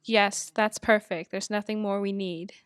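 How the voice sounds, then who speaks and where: A woman's voice: medium pitch, fairly even intonation, a little slow, slight background noise, natural voice. A woman playing a coaxing, flirty Ukrainian president in an amateur satire. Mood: smitten.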